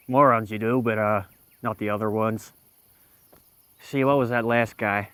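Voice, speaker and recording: A man playing a callous Old West outlaw who tells stories in a rambling, deadpan way, his voice dry. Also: mid-range voice, dry recording, natural voice. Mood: offhand. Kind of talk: roleplay in a western game.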